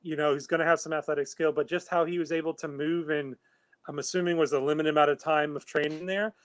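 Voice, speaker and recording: Male co-host, slightly high pitch, measured analytical delivery, dry sound. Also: fairly even intonation, no echo. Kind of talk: casual chat. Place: home, video call.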